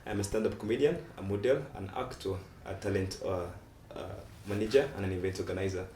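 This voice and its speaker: A man's voice: deep voice, fast talker, a little mellow. A young man from Nigeria with a Nigerian English accent, a guest comedian who talks casually and often repeats himself as he gathers his thoughts. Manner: repeats words and restarts often while thinking aloud. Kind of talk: casual interview. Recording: distant mic in an echoey room, faint noise.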